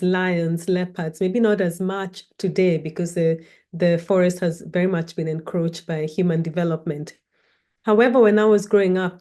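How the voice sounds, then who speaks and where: Woman, slightly low pitch, warm dark tone, reflective storytelling talk, measured delivery. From Kenya. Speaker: academic researcher and guest speaker. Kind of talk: personal introductory talk, storytelling.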